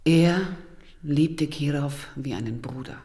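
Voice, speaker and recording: Cool, measured, knowing widow. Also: female voice, low-pitched, dark tone. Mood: guarded. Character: composed, pointed widow of a murdered Soviet leader. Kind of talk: German radio crime drama acting.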